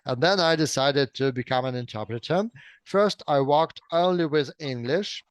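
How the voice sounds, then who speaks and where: Middle aged russian guy w accent chatting in an interview, smooth clear voice, dry sound, bit hesitant. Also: on the high side, normal speed, no room sound. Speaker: interview guest, simultaneous interpreter. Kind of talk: conversational interview answers about own career.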